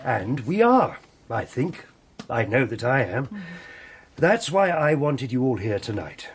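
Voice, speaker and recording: An actor playing a mild, courteous older widowed father in a radio drama, speaking in a measured, gently formal way. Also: mid-range voice, very animated. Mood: warm, hesitant. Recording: faint background noise.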